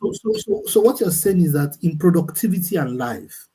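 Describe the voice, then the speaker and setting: A man's voice: a little high, lively intonation, brisk, mellow, slightly rough. A man, a pastor, interviewing a guest and mostly giving brief murmurs of agreement.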